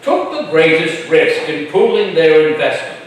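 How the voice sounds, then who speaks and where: Man playing a pompous, high-voiced colonial magistrate. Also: lively intonation, unhurried. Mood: self-important. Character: pompous chief magistrate, stumbling, self-important male colonial leader. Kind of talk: staged reading of a historical play. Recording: echoey room, far from mic, faint noise.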